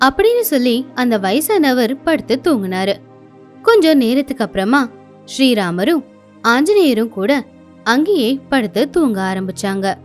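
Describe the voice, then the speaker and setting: A woman narrating an animated children's story in an expressive storytelling manner. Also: on the high side, a little slow, soft background music.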